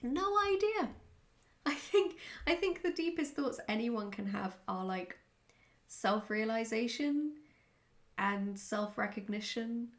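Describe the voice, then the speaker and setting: A woman chatting casually in a question-and-answer vlog, speaking in a candid, reflective and somewhat halting way. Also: fairly high pitch, animated. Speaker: writer answering follower questions.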